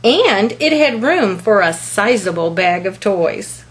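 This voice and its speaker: A woman reads a picture book aloud for children at a very slow, measured pace. Her voice sits at a medium pitch and has a soft huskiness to it. She phrases each line deliberately and with expression, as a storyteller does. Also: very animated, husky.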